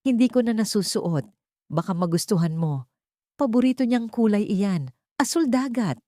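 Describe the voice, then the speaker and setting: A woman voicing a scheming woman who feigns gentle concern in an audio story, calm and insinuating. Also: medium pitch, moderate pace, dry recording, clean studio sound, voice pushed up, lightly acted. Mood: falsely sweet. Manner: calm, insinuating, falsely sweet.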